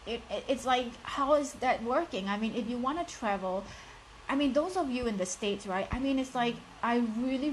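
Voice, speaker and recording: A woman's voice: a little high, a little hiss or hum, poor audio. Upbeat Singaporean woman coaching her viewers. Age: 30s. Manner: direct and encouraging, addresses the audience, many "like" and "you know" fillers. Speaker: life coach. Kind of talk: live motivational talk answering viewer questions.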